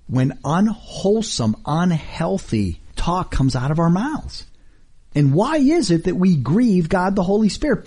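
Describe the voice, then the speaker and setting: A middle-aged man preaching a radio sermon, emphatic and full of rhetorical questions; English is not his first language. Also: husky. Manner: emphatic, rhetorical questions, lively swings in pitch.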